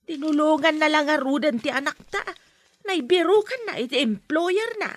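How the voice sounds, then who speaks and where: An actress playing a gentle older mother with an Ilocano accent and a breathy voice in a radio drama. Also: high-pitched, normal speed, no room sound, lightly acted. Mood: warm. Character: older mother, caring and hopeful.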